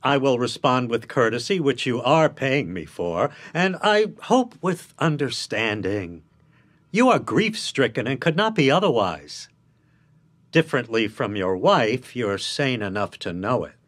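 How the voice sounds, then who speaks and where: An actor playing a shrewd, blunt defence lawyer in a radio drama, lecturing in an emphatic, articulate way. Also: male voice, medium pitch, very animated, measured pace, fairly bright tone. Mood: coolly firm.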